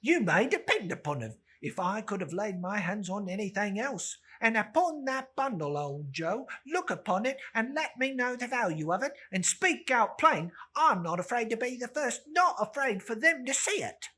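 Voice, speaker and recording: A woman's voice: fairly high pitch, very animated, moderate pace, hardly any pauses, pushed and bright, slightly rough, pitched above the performer's own voice, full character voice. A woman voicing a brazen, defiant working-class charwoman with a scolding manner in a dramatic storybook reading. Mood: scornful, indignant.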